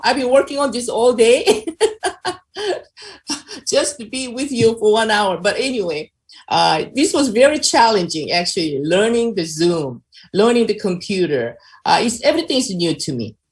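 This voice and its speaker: A woman's voice: on the high side, big pitch swings, a little slow. An older woman from South Korea with a Korean accent, chatting through a personal testimony on a video call with many fillers. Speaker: presenter.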